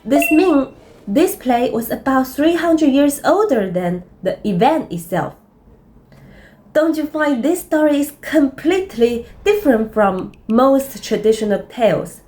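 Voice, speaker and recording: A woman presents a scripted video essay, speaking earnestly and deliberately in non-native English. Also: high voice, expressive, darkish tone. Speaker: presenter of a literary commentary.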